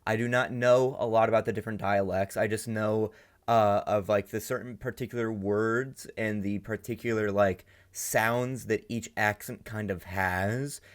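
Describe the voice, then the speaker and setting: A young man giving casual reaction commentary with a slightly low voice. He rambles and circles back as he thinks aloud, piling up fillers. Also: fairly even intonation, moderate pace. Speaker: reaction channel host.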